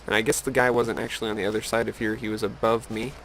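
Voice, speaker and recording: A man's voice: fairly low pitch, level tone, fast, fairly bright tone, slight background noise, poor audio. A man giving casual, rambling game commentary with musing, wry asides to the viewer. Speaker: gameplay video host.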